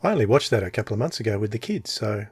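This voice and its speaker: A man's voice: on the low side, big pitch swings, very fast, crowd noise. A man casually putting questions to a guest as a podcast interviewer.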